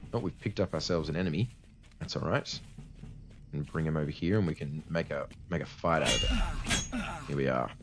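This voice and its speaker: Very deep-voiced man with a tired voice. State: tired voice after a cold, cutting out at times. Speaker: let's play host. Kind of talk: casual gameplay commentary. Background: game music.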